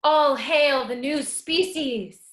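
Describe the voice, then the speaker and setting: Woman playing a high-pitched solemn fish herald, roomy. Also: slow, fairly bright tone. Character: solemn mutant trash fish heralding a new species. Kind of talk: staged reading. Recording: roomy, distant, clearly noisy audio.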